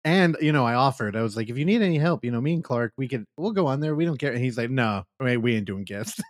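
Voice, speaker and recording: A man co-hosting a podcast, making wry deadpan jokes in casual chat. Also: medium pitch, quick pace, no room sound. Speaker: podcast co-host.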